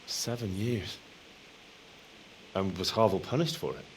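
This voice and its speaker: An actor playing an earnest, respectful young medieval lad in a video game, speaking softly and politely. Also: male voice, low voice, expressive, unhurried, a little hiss or hum, low-quality recording. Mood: curious, sympathetic. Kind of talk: dramatic video game dialogue.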